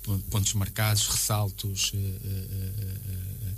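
A man with a European Portuguese accent giving a casual interview as a coach, haltingly and often repeating words and phrases. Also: deep voice, completely flat delivery, faint music behind. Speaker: basketball coach, interview guest. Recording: clear background noise and hum.

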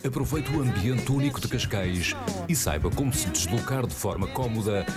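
Male ad announcer over loud music. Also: fairly low pitch, animated, normal speed. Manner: smooth, promotional. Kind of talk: scripted radio ad read.

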